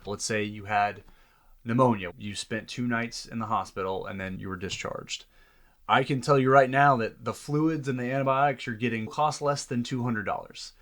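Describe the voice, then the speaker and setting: A man's voice: mid-range voice, normal speed, a bit bright. A man speaking as a doctor in an earnest, reflective interview conversation. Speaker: doctor, podcast guest.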